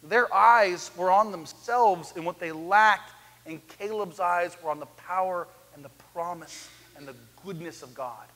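A man in his thirties preaching an animated sermon on a church stage, swinging from loud to hushed. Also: sits high, measured pace. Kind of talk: lively sermon. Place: church sanctuary, large, indoors. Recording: echoey church hall.

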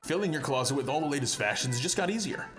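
A man's voice: fairly high pitch, lively intonation, fast, voice pushed up, close to an everyday voice. A man voicing a friendly, casual announcer in a fashion commercial. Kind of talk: advertisement voice-over. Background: guitar music.

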